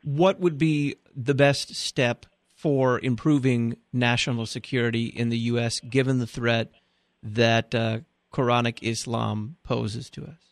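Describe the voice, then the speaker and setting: A man's voice: medium pitch, little pitch movement, unhurried, no room sound. A man interviewing a guest, asking long questions with some restarts and hesitations.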